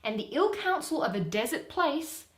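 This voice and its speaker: Woman acting a scornful young man, high and swooping. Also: sing-song, normal speed, fairly bright tone, echoey room. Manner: emphatic, declamatory. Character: scornful young Athenian man, exasperated, spurning a lovesick pursuer. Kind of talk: dramatic Shakespeare reading.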